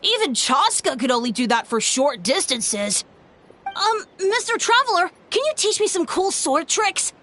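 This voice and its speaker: Bright, high-pitched eager kid. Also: female voice, measured pace, slight background noise, full character voice. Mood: awestruck. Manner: excitable, breathlessly admiring. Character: eager, starstruck young child of warrior tribe. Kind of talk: video game voice acting.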